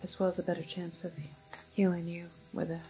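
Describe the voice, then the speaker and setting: A woman doing an ASMR roleplay as a calm, apologetic doctor, speaking in whispers and hushed, halting explanations. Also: on the low side, faint music behind, poor audio. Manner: hesitant, halting, gentle clinical explaining. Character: calm, apologetic female doctor in a quarantine facility. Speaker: ASMR performer playing a doctor.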